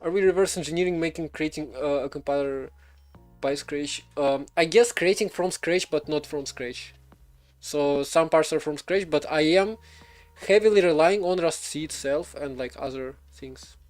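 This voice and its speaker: Young guy streaming code, kinda high voice, lots of ums and restarts, faint music behind. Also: moderate pace, a little mellow. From Belarus. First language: Russian. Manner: rambling, many fillers and restarts, laughs often. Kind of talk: casual live coding stream chat.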